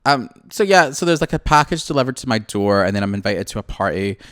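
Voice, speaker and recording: A Scottish man in his late twenties with a lowish voice, rambling through casual podcast chat with jokes at his own expense. The sound is dry and close, with no room around it. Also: animated, fast, fairly bright tone, dry recording. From Scotland. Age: late 20s. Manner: rambling, joking, self-deprecating. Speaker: podcast co-host.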